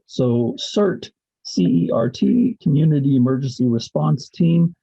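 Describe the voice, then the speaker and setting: Guy hosting an online presentation, really dark gravelly voice, dry muffled sound. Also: a little high, expressive, unhurried, dry recording. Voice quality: gravelly, husky. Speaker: host introducing a guest speaker. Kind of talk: slideshow presentation over video call. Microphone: video call.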